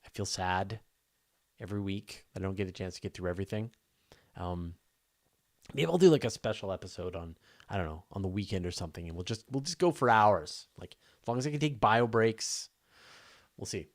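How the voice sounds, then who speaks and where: A man's voice: low-pitched, animated, brisk. A man hosting a live astronomy question show, chatting casually and off the cuff with informal enthusiasm.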